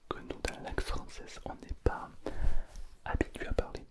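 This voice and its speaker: A man, giving a playful whispered ASMR lesson as a language teacher. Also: normal speed. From southern France. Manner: playful, joking asides.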